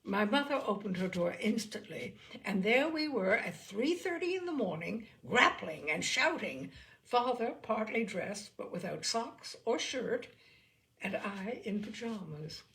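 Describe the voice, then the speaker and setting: A woman reading a literary story aloud as an expressive narrator. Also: mid-range voice, unhurried, creaky, rough recording. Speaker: storyteller, reader.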